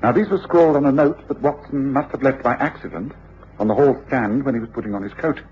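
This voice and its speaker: An actor playing a shrewd, commanding British gentleman detective in a radio drama, speaking precisely and with authority. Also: mid-range voice, quick pace, lightly acted. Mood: brisk, purposeful. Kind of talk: 1950s-60s radio drama acting. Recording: dull, hissy old radio recording.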